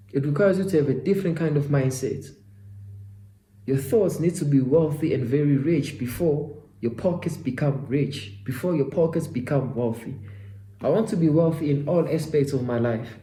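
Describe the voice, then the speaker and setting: A man's voice: fairly high pitch, dark tone, reverberant, poor audio. A man in his twenties giving a faith-driven motivational talk, repeating phrases and calling the listener "my guy.". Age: 20s. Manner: preachy, repetitive, addresses himself and listener as "my guy". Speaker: self-development vlogger. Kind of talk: motivational monologue.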